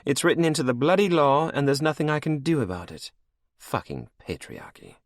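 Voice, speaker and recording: A man's voice: a little high, sing-song, fairly quick, no echo, studio quality, voice pushed up. A man voicing a cruel, scheming vampire queen who speaks in a mocking, sing-song way. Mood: imperious. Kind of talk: audiobook character voice.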